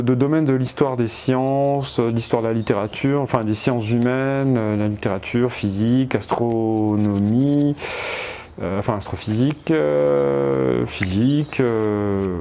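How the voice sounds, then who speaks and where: A man talks in a mellow, darker voice, stuffy from a cold. He rambles hesitantly with many fillers and restarts, breaking off for chatty asides to his viewers. Faint noise hums under the recording. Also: mid-range voice. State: has a cold. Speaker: vlogger. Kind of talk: rambling casual vlog. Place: outdoors in spring.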